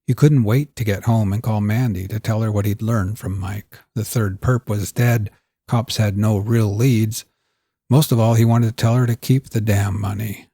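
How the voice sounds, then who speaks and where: Male audiobook-style narrator, dark mellow tone with a husky edge, dramatic scripted storytelling. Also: lowish voice, no echo, clean studio sound. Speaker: fiction podcast narrator.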